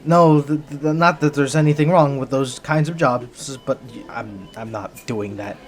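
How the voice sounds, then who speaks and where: A man's voice: mid-range voice, a little slow, over music, natural voice. Man playing a nervous, stammering sweet bunny boy. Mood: flustered, embarrassed. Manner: stammering, hesitant, bashful, earnest. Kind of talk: intimate ASMR roleplay addressed to the listener.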